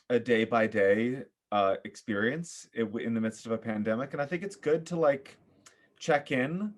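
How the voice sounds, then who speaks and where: A man speaking as a podcast guest in casual, reflective conversation, earnest and thoughtful with halting phrasing. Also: a little high. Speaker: podcast guest, theater director and voice coach.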